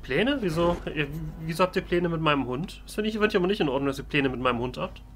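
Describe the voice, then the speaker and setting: A man with a fairly high, bright and forceful voice commentates a game in character. He jokes and acts playfully indignant, with faint game noise behind him. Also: animated, fast talker. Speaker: let's play host. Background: video game sounds.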